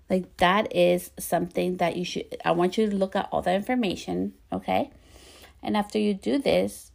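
Gravelly low-voiced woman podcaster. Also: darkish tone. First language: bilingual Spanish and English. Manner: fast-talking, stumbles over words; encouraging, coaching tone. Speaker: podcast host. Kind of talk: casual solo podcast talk.

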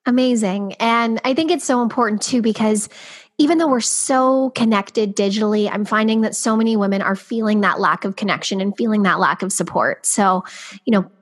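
A woman's voice: medium pitch, a little fast. A woman hosting a podcast, her voice a little scratchy today, talking in an upbeat, chatty way with many fillers. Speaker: podcast host. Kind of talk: casual podcast intro and interview.

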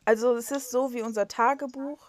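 A woman's voice: a little high, fairly even intonation, fairly quick, dry recording, studio quality. A young woman from western Germany hosting a casual podcast over a video call, rambling and self-mocking, with lots of fillers and restarts. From North Rhine-Westphalia, Germany. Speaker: podcast co-host. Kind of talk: casual chat. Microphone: video call recording.

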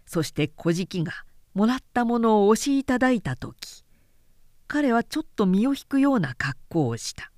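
Expressive, dry-recorded woman narrator. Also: on the high side, dry recording. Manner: measured, dramatic storytelling. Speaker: narrator, announcer. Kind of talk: literary audiobook narration of a period story.

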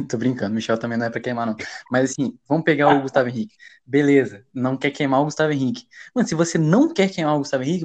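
A man from Brazil hosting a casual football podcast, talking in heavy slang with frequent swearing and rising to loud, agitated rants. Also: a little high. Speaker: podcast host. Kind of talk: heated casual football chat.

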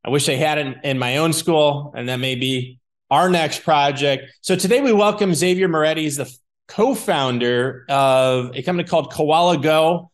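A man hosting an interview podcast, upbeat and affirming, sometimes trailing off into a quieter, lower voice. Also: normal speed. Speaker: podcast host.

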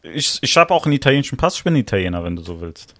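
A man's voice: medium pitch, big pitch swings, racing, fairly bright tone, no room sound. A young man with a mid-range voice argues his side in a loose, slangy way, often stopping to ask if he is understood. The sound is dry and close, with no room around it. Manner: slangy, argumentative, frequent "weißt du, was ich meine". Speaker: podcast co-host. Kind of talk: casual podcast debate.

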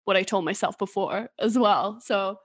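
A woman from England co-hosting a casual podcast chat, talking in a rambling way with restarts and soft laughs. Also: mid-range voice, normal speed.

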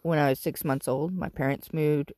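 A woman in her fifties from Northern California, raspy from smoke, talking about her life in a loose, rambling monologue full of ums and restarts. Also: sits low, fairly even intonation, normal speed, warm tone, no room sound. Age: 50s. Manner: rambling, with frequent ums and self-corrections. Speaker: podcast host. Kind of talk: casual personal monologue.

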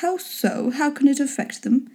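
A woman voicing a dry, teasing middle-aged husband and father in a storybook reading, with a deadpan, sardonic manner. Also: sits high, normal speed, voice pushed up. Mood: dry, indifferent. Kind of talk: storybook character voice in an audiobook reading.

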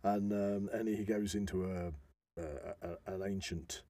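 A man's voice: on the low side, little pitch movement, measured pace, dark tone. A man co-hosting a podcast, chatting casually about films like an enthusiastic fan, often trailing into half-finished sentences. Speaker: podcast co-host. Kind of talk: casual film discussion.

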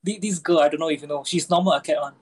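Low-voiced Singaporean woman, chatty. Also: racing, darkish tone, breathy edge. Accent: Singaporean English. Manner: chatty, many listening murmurs. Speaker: book club member. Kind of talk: casual chat.

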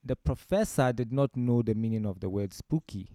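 A man's voice: mid-range voice, normal speed, dark tone, no echo. A man with a Ghanaian accent, a musician telling anecdotes in a discursive way as an interview guest. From Ghana, born in Romania. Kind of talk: conversational interview storytelling.

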